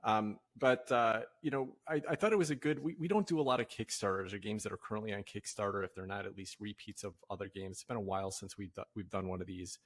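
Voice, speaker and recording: A man hosting a podcast in casual discussion, speaking conversationally and haltingly as he thinks aloud. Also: on the low side. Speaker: podcast host leading a discussion.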